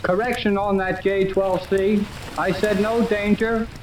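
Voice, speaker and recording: A young actor with an American accent playing a plucky, defiant lad in a 1930s radio serial, brash, slangy and stubborn. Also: male voice, high voice, level tone, measured pace. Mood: businesslike. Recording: noisy, distant old radio recording.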